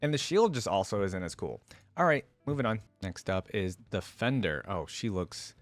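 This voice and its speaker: A man's voice: lowish voice, animated, normal speed, dry recording, soft background music. A man hosting a reaction video, giving informal, off-the-cuff commentary and thinking aloud. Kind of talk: casual off-the-cuff reaction commentary on game skins.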